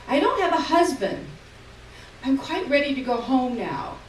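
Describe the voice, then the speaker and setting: Woman reading as frail old mother, halting, repeating words, high and lilting, echoey hall from far off. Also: very animated, slight background noise. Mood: plaintive.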